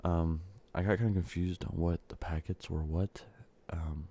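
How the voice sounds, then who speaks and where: A congested man with a very low, gravelly voice talks softly and slips into whispers, rambling through an ASMR video with lots of ums and uhs. His tone is dark and mellow. He sounds sick and short of breath. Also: fairly even intonation, moderate pace. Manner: soft speaking drifting into whispers, rambling with fillers. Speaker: ASMR creator. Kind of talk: soft-spoken ASMR candy-making ramble.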